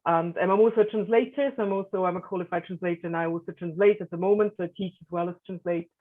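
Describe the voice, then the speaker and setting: A woman's voice: lowish voice, steady tone, fast. Swiss woman on a tinny line. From Switzerland. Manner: hesitant, many fillers. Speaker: German teacher and translator. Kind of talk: panel self-introduction. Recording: tinny phone-like audio.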